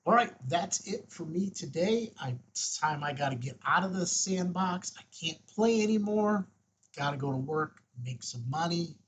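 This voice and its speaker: A man with a husky, rough-edged voice presenting a casual step-by-step tutorial, informal and thinking aloud as he goes. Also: fairly high pitch, animated, normal speed. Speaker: host, ham radio tutorial presenter.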